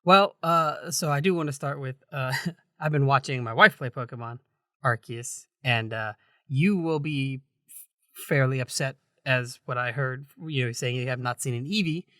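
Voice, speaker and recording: A man's voice: mid-range voice, normal speed, pushed and bright, dry recording, clean studio sound. A man co-hosting a gaming podcast, chatting casually and often chuckling as he talks. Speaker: podcast co-host.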